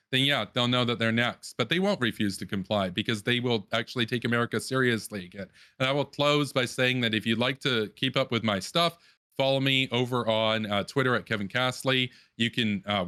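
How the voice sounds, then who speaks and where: A man's voice: mid-range voice, sharp tone, smooth. A man giving an emphatic closing statement in an online political debate, repeating phrases to press his point.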